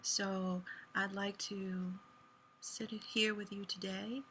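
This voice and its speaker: A woman with a soothing voice hosting an online poetry event over a video call, speaking in a gentle, reassuring and sincere way. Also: mid-range voice, flat delivery, takes it slowly, background noise. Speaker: host and poet.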